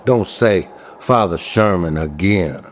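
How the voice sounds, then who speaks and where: A man playing a gruff, irritable detective in an audio drama, blunt and grumbling. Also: low-pitched, slow pace.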